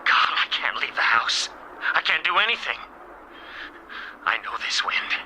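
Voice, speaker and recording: An actor playing a terrified, obsessed man besieged by a living wind in a radio drama, halting and overwrought. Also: mid-range voice, big pitch swings. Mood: haunted dread. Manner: overwrought, halting, breaking into manic laughter and cries. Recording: noisy old radio drama broadcast.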